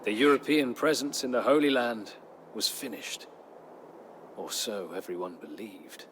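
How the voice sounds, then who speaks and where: An actor playing a weary, middle-aged former crusader knight who narrates a game's war chronicle in a solemn, measured, dramatic storytelling manner. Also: male voice, lowish voice, background noise, lightly acted. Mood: somber, foreboding. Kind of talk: dramatic scripted first-person narration. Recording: music under the voice. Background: music.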